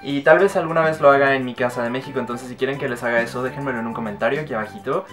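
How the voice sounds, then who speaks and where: A man's voice: mid-range voice, very fast, over music. A young man in his twenties with a Mexican accent, chatting casually and giggling as he gives a house tour, holding the camera at arm's length. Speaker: vlogger. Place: mobile home, indoors and outdoors. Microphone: handheld camera at arm's length.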